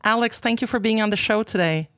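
A woman's voice: a little high, fast talker, fairly bright tone, dry recording. Polished woman hosting a podcast, dry sound. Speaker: podcast host. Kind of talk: scripted podcast interviewing.

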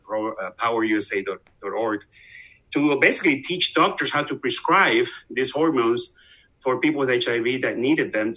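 A man's voice: on the low side, bright, forceful tone, low-quality recording. A man in his sixties with a Venezuelan accent, answering as an interview guest, earnest, with many fillers and restarts. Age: 60s. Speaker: guest expert.

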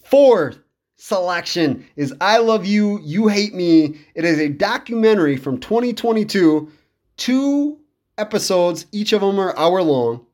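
A man's voice: high-pitched, lively intonation, unhurried, darkish tone. A man in his thirties giving casual, enthusiastic solo commentary as a podcast host, in a conversational way. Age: 30s.

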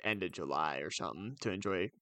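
A man's voice: low-pitched, fast, pushed and bright, no echo. A young man co-hosting a podcast in casual, conversational chat. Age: young adult. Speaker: podcast co-host.

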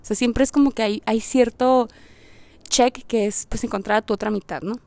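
A woman's voice: on the high side, steady tone, normal speed, warm tone. A woman giving a casual, reflective monologue as a podcast host, informal and slangy, rambling as she thinks aloud. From Puerto Rico.

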